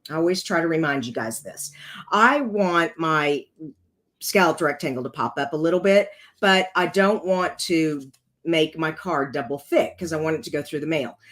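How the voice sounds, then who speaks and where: A woman with a rough, congested voice hosting a casual card-making tutorial, chatting her way through each step as she works. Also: deep voice, normal speed, fairly bright tone.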